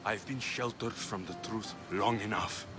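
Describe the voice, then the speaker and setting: An actor playing a brooding, determined young Greek mercenary, terse, intense and solemn, in a dramatic video game scene. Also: male voice, fairly low pitch, a little slow, natural voice. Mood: grim determination. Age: young adult. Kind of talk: dramatic game cutscene acting. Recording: music behind the voice.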